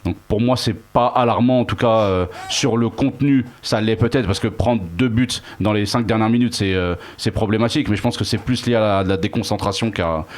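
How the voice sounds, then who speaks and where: A male football pundit speaks in a fairly low voice. He talks quickly as he gives unscripted analysis in a panel discussion.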